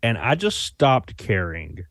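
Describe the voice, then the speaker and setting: A man's voice: mid-range voice, big pitch swings, takes it slowly, fairly bright tone, no echo. Man, Alabama accent, young adult, rambling casual talk, dry sound. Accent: Southern US (Alabama). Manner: rambling, loose, with many fillers and restarts. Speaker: YouTuber guest. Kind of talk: casual conversation.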